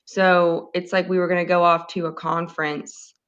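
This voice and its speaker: A hoarse woman co-hosting a podcast, casually rambling through a dream story and laughing often. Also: fairly low pitch, level tone, moderate pace, fairly bright tone, dull audio. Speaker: podcast co-host. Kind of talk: casual chat, telling a dream.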